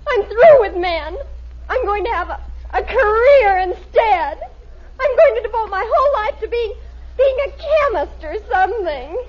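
Very high-pitched dramatic teenage girl. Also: lively intonation, moderate pace. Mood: dramatic, bitter. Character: melodramatic, heartbroken teenage daughter, indignant and proud. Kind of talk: 1950s radio sitcom acting. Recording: muffled, noisy vintage radio recording.

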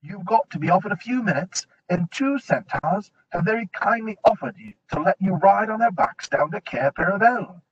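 A courteous young fawn, eager to help, as a man puts him on, speaks in a raised, lively voice that rises and falls a great deal. A slight huskiness runs through it, and the recording sounds dull and lo-fi. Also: sits high, moderate pace, hardly any pauses, voice pushed up. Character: polite, eager young male fawn, brisk and helpful.

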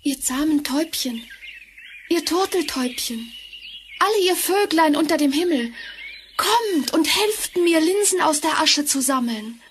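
A woman playing a gentle, sorrowful young stepdaughter in a fairy-tale audio drama, meek and earnest. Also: high voice, lively intonation, a little slow, slight background noise. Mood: earnest calling. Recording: music behind, vinyl rip.

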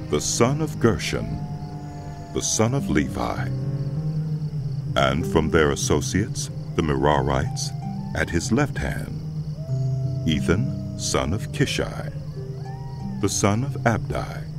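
A man reading scripture as an audiobook narrator, in a solemn, measured delivery over background music. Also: deep voice, creaky.

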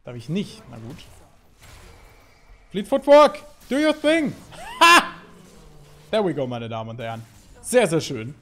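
A man in his thirties from Germany, commenting on his own gameplay in an excitable way, full of fillers, at a desk at home. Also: on the high side, unhurried, long pauses. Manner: lively, excitable, talks through play with fillers. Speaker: gaming YouTuber. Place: home streaming setup, indoors.

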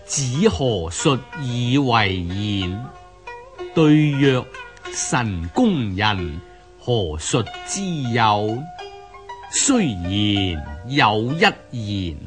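Male reciter of classical prose, Hong Kong Cantonese, extremely slow, solemn, over soft plucked strings. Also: mid-range voice. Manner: solemn, measured. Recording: plucked string music under the voice.

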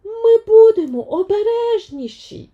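A woman's voice: very high-pitched, lively intonation, a little slow, phone-quality sound, voice pushed up. A woman voicing a brave, determined teenage island girl in an emphatic, earnest way for a children's fairy tale. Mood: insistent, hopeful.

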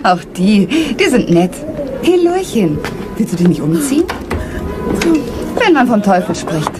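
A woman's voice: mid-range voice, sing-song, a little slow, music in the background. An actress playing a cheeky, chatty, flirtatious showgirl in a radio drama, teasing and breezy. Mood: playful.

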